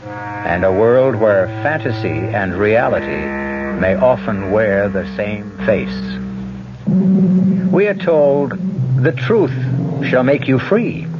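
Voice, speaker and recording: An older man narrates a radio mystery in a grave, measured way, his pitch rising and falling in wide, dramatic sweeps. A clear musical score plays behind him. The sound is dull and muffled, like a vintage radio broadcast. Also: big pitch swings, loud music behind the voice. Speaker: host and narrator.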